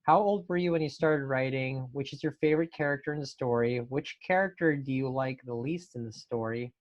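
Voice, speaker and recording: Male host, average pitch, informal question-reading delivery, somewhat dull lo-fi recording. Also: fairly quick. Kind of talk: casual panel hosting. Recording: slightly dull, lo-fi audio.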